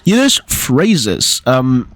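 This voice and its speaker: A man's voice: medium pitch, wildly swooping pitch, drawn-out words, a bit bright, no echo. A man talking as an amateur linguist in a casual explainer vlog, hesitant, with many fillers and restarts.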